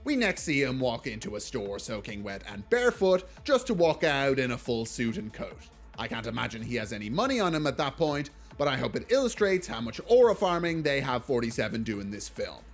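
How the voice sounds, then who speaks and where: A man's voice: mid-range voice, sing-song, fairly quick, few pauses, soft background music. A man narrating a scripted video essay as a film reviewer, in a wry, casual, joking manner.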